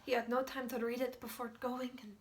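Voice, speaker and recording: A woman acting a guilt-ridden, fragile Polish woman in a dramatic monologue, with a Polish accent and halting, stammering speech that often drops to whispers. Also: sits high, fairly even intonation, gravelly, distant mic, heavily acted. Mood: agitated, distressed. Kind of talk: dramatic film monologue, amateur.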